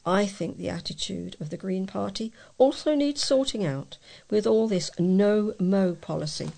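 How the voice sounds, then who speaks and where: A woman reading letters aloud as a volunteer news reader, in a measured way with the odd stumble. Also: medium pitch, gravelly, mellow.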